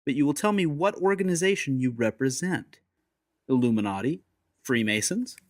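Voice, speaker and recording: Steampunk audiobook secret agent, played by a man: menacing gentleman, deliberate, courteous, ruthless, very dry recording. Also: medium pitch, expressive, no room sound, close to an everyday voice. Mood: cold menace. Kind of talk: dramatised audiobook acting.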